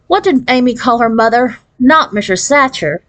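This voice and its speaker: A woman's voice: a little high, animated, normal speed, fairly bright tone, voice pushed up. A woman reading a storybook as an uneasy fifth-grade girl who is hiding who she is. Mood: nervous. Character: fifth-grade girl, uneasy, secretly posing as her classmate.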